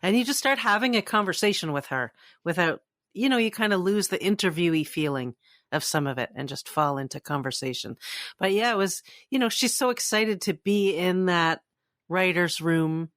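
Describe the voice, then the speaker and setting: A woman's voice: on the low side, lively intonation, moderate pace, a bit bright, no echo, clean studio sound. A woman co-hosting a podcast, chatting casually and enthusiastically. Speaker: podcast co-host. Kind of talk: casual chat.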